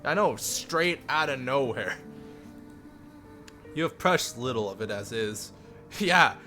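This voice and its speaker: A man live streaming a visual novel with casual, animated, self-deprecating and profane commentary. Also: medium pitch, slow, soft background music.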